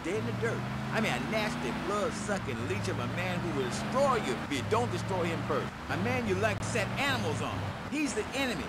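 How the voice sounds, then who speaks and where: Loud vehicle noise runs under a cocky, aggressive retired athlete. His voice sits high and swings wildly as he boasts and rants like a volatile star on a comedy radio interview. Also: big pitch swings, brisk, hardly any pauses. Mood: aggressive bravado. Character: cocky, volatile macho retired football star plotting a comeback. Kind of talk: satirical radio interview acting.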